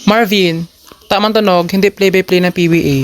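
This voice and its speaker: A woman's voice: mid-range voice, fairly even intonation, moderate pace, a little hiss or hum, lightly acted. A woman with a Filipino accent playing a stressed, exasperated station boss in a comedic radio drama. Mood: stern, fed up.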